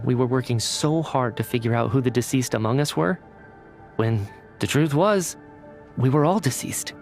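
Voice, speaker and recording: A man acting as an earnest young man in a game cutscene, speaking at a middle pitch. He tells his tale like a lost soul, measured and dramatic, with music playing underneath. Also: darkish tone, lightly acted. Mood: grave, resigned.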